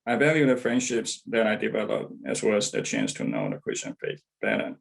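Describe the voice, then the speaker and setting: A man from Taiwan delivers a prepared church testimonial in a measured, careful way. His voice sits low, with a gravelly edge. He sounds like he is speaking on a video call. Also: wildly swooping pitch, poor audio. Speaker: church member giving testimonial.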